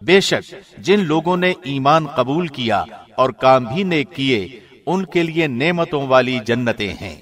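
A man reading a scripture translation from a script in a formal, measured, clearly enunciated way. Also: mid-range voice, animated, fairly bright tone. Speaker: translation reader, radio host.

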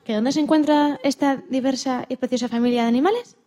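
A woman's voice: high voice, steady tone, quick pace, mellow, faint music behind. A woman presenting on radio, narrating in a storytelling style.

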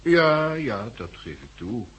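A man's voice: mid-range voice, very animated, takes it slowly, fairly bright tone, slightly rough, heavily acted. An actor playing a polite, guarded male company director with a formal, evasive manner in a 1960s radio drama.

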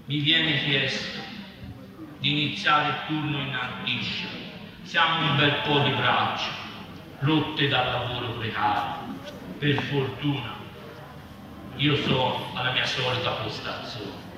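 A man giving a deliberate literary reading aloud in short, broken phrases. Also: mid-range voice. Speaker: reader at a public literary reading. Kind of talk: dramatic reading of literary prose poetry aloud. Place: live reading at a festival venue. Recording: echoey noisy hall, distant mic.

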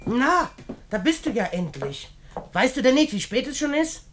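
A domineering old aunt in a vintage radio play. She is imperious, stingy and forever nagging, with a very animated pitch that rises and drops sharply. There is some noise in the recording. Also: normal speed. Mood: impatient, scolding. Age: older. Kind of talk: German radio play acting.

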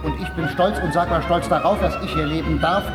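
An actor playing a pressured Soviet composer who dutifully praises the party in solemn, rhetorical radio drama monologue. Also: male voice, fairly high pitch, steady tone, fairly quick, lightly acted. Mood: proud, declamatory. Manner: solemn, rhetorical, repeating phrases. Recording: loud music behind, echoing room.

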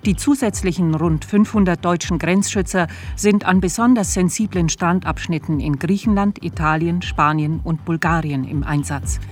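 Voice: mid-range voice, moderate pace, hardly any pauses, soft background music, lightly acted. A performer playing a sober radio news reader, delivering scripted bulletins in a formal, factual manner. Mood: neutral, formal.

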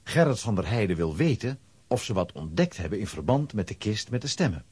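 A man narrating a children's adventure story in a scripted, dramatic style, with a husky voice. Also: fairly low pitch, very animated, a little fast, a little mellow, dry recording.

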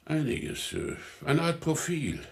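An actor playing a middle-aged, no-nonsense business researcher in a radio drama. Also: male voice, a little high, slow pace. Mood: businesslike. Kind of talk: 1980s radio drama dialogue.

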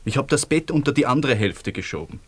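A man's voice: medium pitch, expressive, quick pace, close to an everyday voice. An actor playing a weary, wry man in love after the war in a radio play, with an Austrian accent. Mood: weary, pleading.

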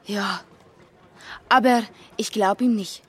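A shy, naive teenage girl in a radio play. Her medium-pitched voice is a little husky, and she speaks in a hesitant, guarded, simple way. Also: steady tone, slow pace, a bit bright. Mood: naive, wistful. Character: shy, naive butcher's teenage daughter under questioning. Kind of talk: German radio drama acting.

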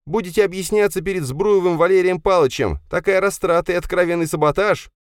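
A man doing a sharp, scolding young woman, pitched much higher. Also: fairly quick, clear, resonant tone, no echo, clean studio sound, close to an everyday voice. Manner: scolding, mocking.

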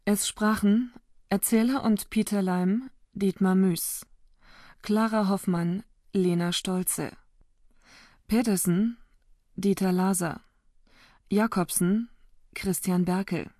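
A woman reading scripted radio credits as an announcer, in a measured, neutral manner. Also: mid-range voice, steady tone, warm tone, dry recording, studio quality.

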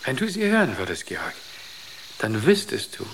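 An actor in a German radio drama playing an entranced artist who speaks with solemn, rapt conviction. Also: male voice, lowish voice, wildly swooping pitch, moderate pace, vocal fry, fairly bright tone, slight background noise, full character voice. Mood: serene conviction. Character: entranced male artist, serenely devoted to an inner voice.

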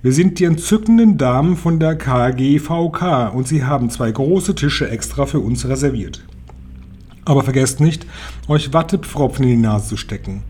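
A man's voice: medium pitch, expressive, moderate pace, darkish tone, husky. A man voicing an imperious, venomous female witch leader who declaims her orders with contempt in a storybook reading. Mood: bossy, scornful.

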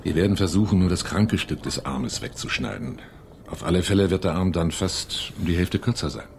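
A man's voice: deep voice, brisk, slight background noise. A man playing a serious, careful hospital doctor in a radio drama. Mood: grave, careful. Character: grave, hesitant male hospital doctor.

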